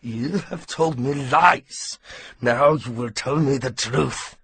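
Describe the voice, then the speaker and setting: A man voicing a slow, cold, disfigured villain. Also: mid-range voice, a bit bright, a little hiss or hum. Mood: menacing. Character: disfigured slow menacing male villain interrogator.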